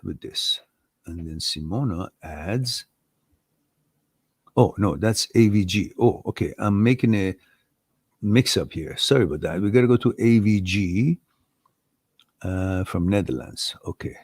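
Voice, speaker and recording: Man with a lively, lowish voice. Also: slightly rough, no room sound, studio quality. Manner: thoughtful, repeats and builds ideas step by step, chuckles at mix-ups. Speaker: host answering viewer questions. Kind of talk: live Q&A talk.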